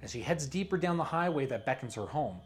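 A man reading a scripted promotional pitch as a creative director, with dramatic storyteller-like emphasis. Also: expressive, fast talker, soft background music.

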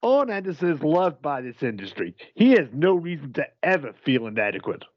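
A man's voice: a little high, sing-song, fairly quick, dry recording, muffled recording. A man playing a flustered, exasperated wrestling call-in show host in a comedic audio play, politely weary and stammering. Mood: politely annoyed.

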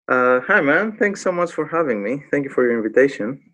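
A man in his thirties with a Greek accent, a native Greek speaker, chatting casually as an interview guest and often pausing to search for words. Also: mid-range voice, animated, pushed and bright, a little hiss or hum. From Greece. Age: 30s. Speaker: guest, graffiti artist.

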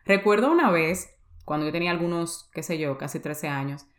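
A woman's voice: fairly low pitch, fairly quick, reverberant. A young Dominican woman with a Dominican accent hosting her own podcast, telling her story in a candid, confessional way and mixing English words into her Spanish. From Dominican Republic. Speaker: podcast host. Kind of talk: personal podcast monologue.

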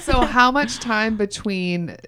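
A woman co-hosting a casual chat who reacts with short, lively interjections. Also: a little high, slow, clear, resonant tone. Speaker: co-host.

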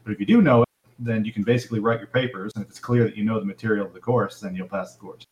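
A man in his thirties calling in to a live show, chatting quickly and casually, his voice often trailing off at the ends of phrases. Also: on the low side, darkish tone. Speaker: caller. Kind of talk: casual call-in chat.

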